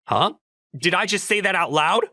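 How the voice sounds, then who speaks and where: A man's voice: high voice, lively intonation, normal speed, pushed and bright, no echo, clean studio sound, exaggerated voice. A man playing an awkward, modest young working man in a romcom manga dub, musing dryly and hesitantly about himself. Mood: flustered, teasing. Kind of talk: manga dub voice acting with inner monologue.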